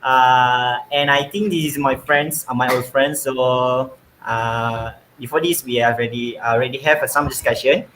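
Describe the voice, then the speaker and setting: A man's voice: medium pitch, steady tone, a bit bright, rough recording. Hesitant young man moderating a forum. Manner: hesitant, many filler pauses. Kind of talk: hosting an online forum. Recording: faint background noise.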